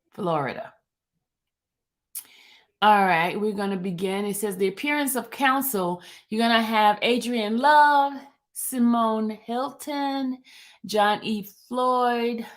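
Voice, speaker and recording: A woman hosting a casual livestream, greeting her chat and then reading a court transcript aloud, with a relaxed delivery full of ums. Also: mid-range voice.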